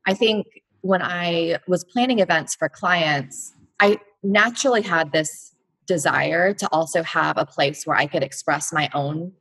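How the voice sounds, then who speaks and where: A woman in her thirties from Texas speaks low and a touch husky. She tells her story reflectively and at ease, with easy fillers and pauses as she thinks. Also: a bit bright, low-quality recording. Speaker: guest, blogger and entrepreneur. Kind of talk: conversational interview.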